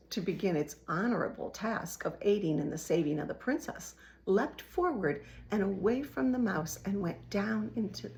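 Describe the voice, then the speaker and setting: Expressive slow-paced woman reading a storybook. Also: mid-range voice, slight background noise, poor audio. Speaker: children's book reader. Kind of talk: expressive storybook read-aloud.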